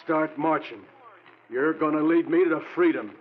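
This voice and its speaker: A man's voice: a little high, normal speed, natural voice. Man playing a cocky, swaggering gangster boss, old radio. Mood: gloating, taunting. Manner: swaggering, commanding, tough-guy slang. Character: cocky, ruthless male gangster boss who cannot be killed. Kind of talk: 1940s radio drama acting. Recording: muffled, noisy vintage radio recording.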